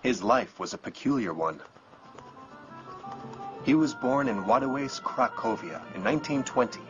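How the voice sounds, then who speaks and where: A man's voice: mid-range voice, animated, moderate pace, natural voice. A man playing an earnest, reverent storyteller, giving scripted narration in a dubbed cartoon. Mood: fond. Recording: muffled lo-fi audio with loud music.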